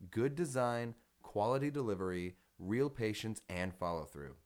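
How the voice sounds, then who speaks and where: A man reading a business article aloud as scripted narration, in a measured, instructional manner. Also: fairly low pitch.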